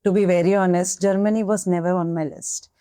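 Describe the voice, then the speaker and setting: A woman's voice: on the low side, fairly even intonation, brisk, mellow, clear, resonant tone, no echo. Candid young Indian woman, dry studio sound. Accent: Indian English. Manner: candid, switches between English and Hindi. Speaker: nursing candidate, guest. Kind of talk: podcast interview answers.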